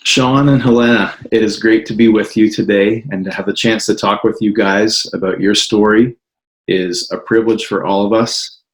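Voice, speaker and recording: A man's voice: fairly low pitch, steady tone, moderate pace, rough recording. A man interviewing guests in a welcoming, upbeat way.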